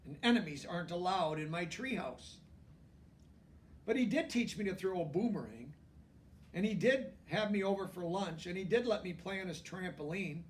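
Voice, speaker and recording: Male storybook reader, high-pitched and expressive with pauses for emphasis, recorded at a slight distance. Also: slight background noise. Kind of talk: children's book read-aloud with brief asides.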